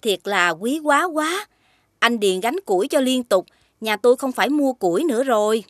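Nice gracious cartoon village lady voiced by a woman, southern vietnamese, grateful, highish, dry booth sound. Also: animated, moderate pace, dry recording, natural voice. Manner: gracious, gentle. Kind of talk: animated folktale dubbing.

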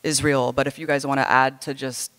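A woman's voice: a bass voice, flat delivery, a little fast, a bit bright. A woman journalist speaking earnestly and indignantly on a panel, often restarting her sentences. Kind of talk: panel discussion talk. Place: large hall stage. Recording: hall reverb, far off.

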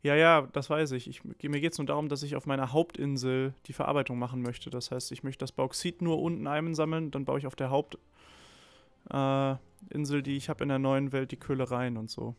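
A man's voice: mid-range voice, steady tone, a little fast, clear, resonant tone, no room sound. A man casually chatting while playing a strategy game, thinking aloud with many restarts, a little under the weather. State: slightly ill, a bit hoarse. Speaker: let's play co-host. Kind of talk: casual gameplay chat.

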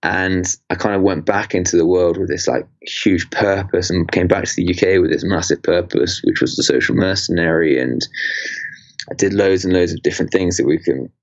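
A young man in his twenties from England talks as a podcast guest. His voice sits very low. He rambles with fillers and half-started sentences, and the sound is a little muffled. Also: brisk. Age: 20s. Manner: rambling, many fillers and restarts. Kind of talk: casual interview chat.

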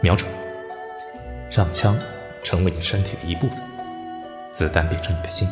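An actor playing an earnest, loyal young army officer in a Chinese audio drama. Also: male voice, low voice, steady tone, normal speed, over music, natural voice. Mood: earnest, encouraging.

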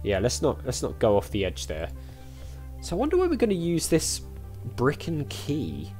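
Animated man playing games. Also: on the high side, moderate pace, faint music behind. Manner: chatty, thinking aloud. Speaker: gaming YouTuber. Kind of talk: casual let's-play commentary.

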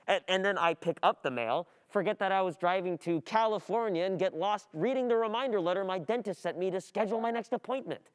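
A young adult performer playing an overwhelmed, distracted student in a halting, agitated radio play monologue. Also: medium pitch, smooth, lightly acted. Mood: scattered, wry.

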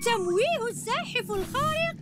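Very high, eager boy hero. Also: animated, a little fast. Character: small boy superhero, eager and innocent. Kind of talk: cartoon video game acting. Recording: loud music behind the voice.

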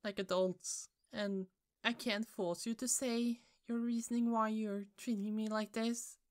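A woman playing a hurt, fed-up office coworker in an ASMR roleplay, halting and pleading, with pauses and sighs. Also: fairly high pitch, steady tone, clean tone, pitched above the performer's own voice, natural voice. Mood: upset, pleading. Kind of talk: ASMR roleplay, slow intimate monologue.

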